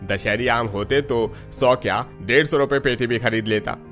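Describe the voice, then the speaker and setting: A man's voice: medium pitch, moderate pace, a bit bright, faint music behind, close to an everyday voice. A man playing an arrogant, stingy fruit trader in a cartoon story, haggling in a haughty, dismissive way. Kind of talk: Hindi cartoon story dialogue.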